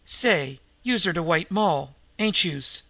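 A reader voicing a delirious female street tough who croaks out harsh, slangy threats. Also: mid-range voice, lively intonation, slow, pushed and bright, full character voice. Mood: mumbling. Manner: snarling, threatening.

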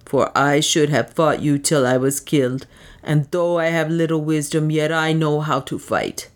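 A woman voicing a fierce male dwarf warrior, gruff and blunt, pitched a little lower. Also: normal speed, close to an everyday voice. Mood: indignant. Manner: blunt, indignant.

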